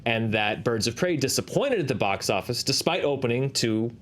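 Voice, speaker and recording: Measured man hosting an analysis show. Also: mid-range voice, lively intonation, a bit bright. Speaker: host of a box office chart show. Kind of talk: conversational analysis of box office figures.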